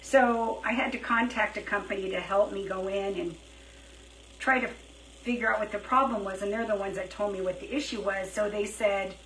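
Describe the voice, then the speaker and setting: A woman chatting casually on a live craft stream, thinking out loud as she works, her throat dry and her voice feeling worn. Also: mid-range voice, fairly even intonation, normal speed. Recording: roomy, slightly distant, faint hiss.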